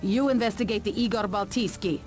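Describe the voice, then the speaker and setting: A cool, businesslike female Russian spy voiced by an actress in a video game. She speaks in a clipped, formal way at a medium pitch, with music clearly behind her. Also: fairly quick, darkish tone, natural voice.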